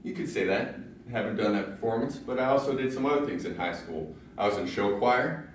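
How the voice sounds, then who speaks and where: Deep voiced young guy doing a funny personal speech, super echoey room, mic far off. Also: a little fast, slight background noise. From Ohio, USA. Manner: self-deprecating humor, storytelling with punchlines. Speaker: speaker at a public speaking club. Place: large meeting room in a library. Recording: very echoey room, recorded from afar.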